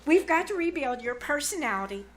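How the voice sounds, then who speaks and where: A woman's voice: high voice, expressive, brisk, noisy background. A woman playing a bossy, scheming teenage girl in old-time radio comedy style, emphatic and wheedling. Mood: persuasive. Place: live on a small theater stage.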